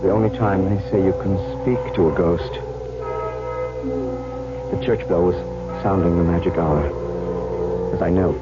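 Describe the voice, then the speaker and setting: A deep-voiced young suitor speaks with brooding, earnest resolve. His measured, dramatic radio delivery comes through a muffled vintage recording with music behind it. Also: fairly even intonation. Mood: grim narration. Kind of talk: old-time radio drama acting and first-person narration.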